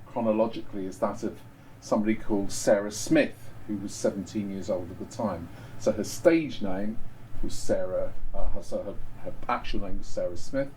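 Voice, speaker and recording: A man's voice: fairly low pitch, warm tone, far from the mic, a little hiss or hum, poor audio. A man speaking as a writer introducing his own story at a live reading, informative and a little hesitant.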